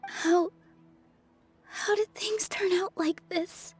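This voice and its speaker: A young dancer from a fantasy game. The voice is high, sweet and earnest. The character comes across as gentle and idealistic, over faint music. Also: female voice, steady tone, takes it slowly. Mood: dismayed. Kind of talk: video game voice acting.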